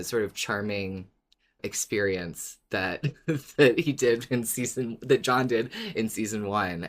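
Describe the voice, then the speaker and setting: A podcast co-host chatting casually in a giggly, animated way, often stopping to correct and restart a story. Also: medium pitch. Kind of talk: casual fan podcast chat.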